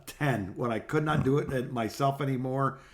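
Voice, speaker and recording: A man's voice: medium pitch, normal speed. Middle-aged man, rambling casual chat. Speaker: podcast co-host. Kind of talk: casual chat on a phone call podcast.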